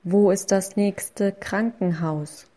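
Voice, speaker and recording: A woman reading short phrases slowly and clearly, saying each one twice for learners. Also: medium pitch, fairly even intonation, mellow. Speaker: language lesson voice. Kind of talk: slow repeated phrase reading.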